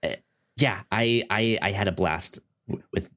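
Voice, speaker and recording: A man co-hosting a casual review podcast, halting and stammering as he repeats words while he talks. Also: on the low side, fairly bright tone. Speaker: podcast co-host reviewing a TV show.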